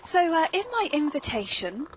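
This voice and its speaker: An English woman with a highish voice hosts in a playful, courteous way. Her delivery is whimsical and chatty. The sound is dull and lo-fi, like an amateur podcast. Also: very animated, moderate pace, slight background noise. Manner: playful, polite. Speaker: podcast host. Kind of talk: whimsical hosting and chat.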